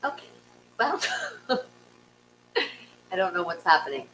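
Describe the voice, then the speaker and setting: A woman's voice: on the low side, big pitch swings, slow, fairly bright tone, slight background noise, poor audio. A woman hosting a casual live online show in a playful, slightly hesitant way. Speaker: host.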